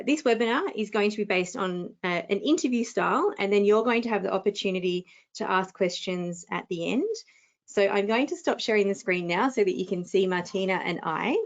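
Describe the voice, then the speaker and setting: A woman hosting a webinar, presenting writing tips encouragingly and then interviewing a guest. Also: medium pitch. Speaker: webinar host. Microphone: video call. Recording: dull lo-fi video call audio.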